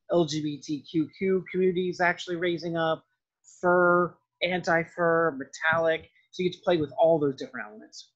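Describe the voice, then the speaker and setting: Higher-voiced male lecturer, muffled audio. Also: fairly bright tone, husky. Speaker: professor lecturing. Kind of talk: informal film-costume lecture. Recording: dull lo-fi audio.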